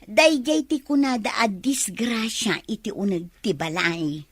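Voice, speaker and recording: A Filipino-accented woman playing a vain, jealous, scheming young woman in a radio drama, gloating and expressive. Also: fairly high pitch, measured pace, slightly rough, no echo.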